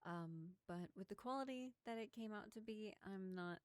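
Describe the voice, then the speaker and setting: A woman voice actor chatting informally and quietly to her listeners, in a casual sign-off style. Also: mid-range voice, normal speed, clean tone, dry recording. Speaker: voice actor and channel creator. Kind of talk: casual outro chat.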